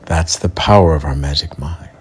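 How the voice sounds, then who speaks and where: A man narrating a bedtime story for children as a guide, in a measured, deliberate delivery with pauses mid-sentence. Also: rumbling low, husky. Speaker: bedtime storyteller and mindset coach. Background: background music.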